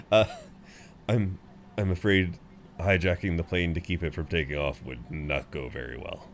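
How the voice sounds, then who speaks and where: A man's voice: deep voice, takes it slowly, long pauses, a little hiss or hum. A man playing a chatty, good-humoured frequent flyer who rambles kindly to a seatmate, in an intimate roleplay audio. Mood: concerned, gently flirty. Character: chatty, friendly tall male frequent flyer comforting seatmate. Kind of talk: intimate roleplay audio acting, one side of conversation.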